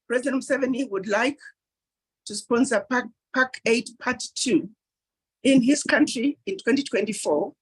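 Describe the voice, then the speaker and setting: A woman's voice: a little high, husky, low-quality recording. A woman speaking at a diaspora planning meeting, giving an emphatic public address and repeating key points for emphasis. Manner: acts out quoted dialogue.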